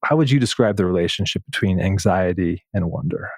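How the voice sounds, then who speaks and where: A man with a low, deep voice hosts a thoughtful interview. The sound is dry and close. He muses in an earnest, appreciative way, working gradually toward what he wants to ask. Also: fairly quick, gravelly, darkish tone, breathy edge, no echo. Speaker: podcast host, interviewer. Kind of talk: reflective, conversational interviewing.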